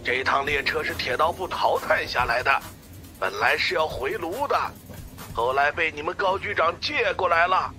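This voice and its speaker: A man's voice: on the high side, normal speed, pushed and bright, faint music behind, pitched above the performer's own voice. A man voicing an impatient, brusque senior monk consultant in an audiobook.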